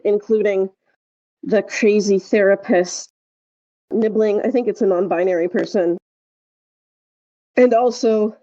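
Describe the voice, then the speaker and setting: A woman co-hosting a podcast in casual chat, telling things in a rambling, halting way. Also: mid-range voice, dark tone, no echo, highs cut off. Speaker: podcast co-host.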